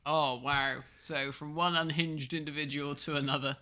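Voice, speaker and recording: A man with a high-pitched, strikingly bright and sharp voice co-hosts a commentary. He chats casually and colloquially, with the odd swear word. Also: steady tone, normal speed. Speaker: podcast co-host.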